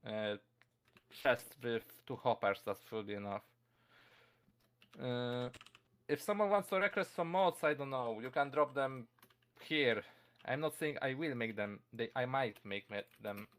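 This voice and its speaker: A man's voice: medium pitch, animated, a little slow, fairly bright tone. A young man with a Polish accent giving a casual streamed talk, answering chat questions with many fillers, restarts and frequent chuckles. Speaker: convention presenter.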